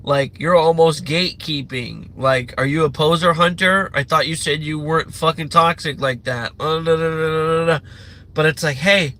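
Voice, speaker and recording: A man's voice: on the high side, fairly even intonation, normal speed, sharp tone. A young man hosting a livestream, ranting loosely with plenty of fillers and swearing. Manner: loose, profane, meandering talk with many fillers. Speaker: livestream host. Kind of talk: rambling live rant.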